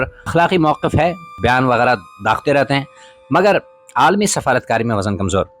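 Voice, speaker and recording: A man's voice: medium pitch, fairly bright tone. A man narrating scripted political commentary as a podcast host, in a formal, literary manner with rhetorical emphasis. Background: background music.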